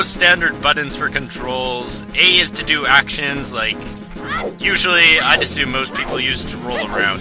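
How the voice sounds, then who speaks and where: A man giving playful, sarcastic commentary while playing a video game. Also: fairly high pitch. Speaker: let's play host. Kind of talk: jokey gameplay commentary. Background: game music.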